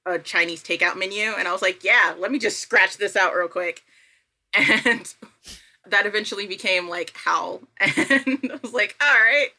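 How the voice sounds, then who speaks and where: Bright-voiced woman telling casual anecdotes. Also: mid-range voice, a little slow. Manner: animated, self-deprecating, quotes own thoughts aloud. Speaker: podcast guest, writer and creator. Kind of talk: casual chat, anecdotal storytelling.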